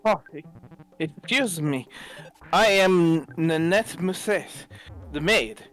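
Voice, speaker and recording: A man voicing a distressed, gossipy young French maid with a halting, put-on French accent. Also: on the high side, lively intonation, fairly bright tone. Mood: earnest, secretive.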